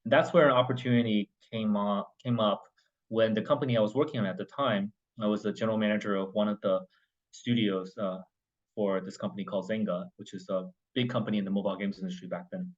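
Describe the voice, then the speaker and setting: A man in his forties from Oklahoma, a webinar panelist on a video call, speaking in a relaxed, thoughtful way with small restarts. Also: fairly low pitch, fast talker. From United States (Oklahoma). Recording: muffled lo-fi call audio.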